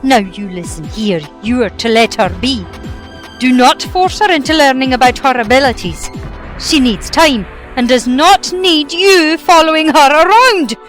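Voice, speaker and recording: A woman voicing a stern, quick-tempered witch who scolds and protects, in an amateur audio drama. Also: high voice, a bit bright, soft background music. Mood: indignant. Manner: scolding, indignant.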